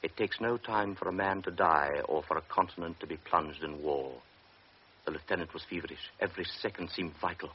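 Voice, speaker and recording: A man narrates a radio drama with grave, measured storytelling. His voice is very low. The recording is dull, with steady hiss behind him.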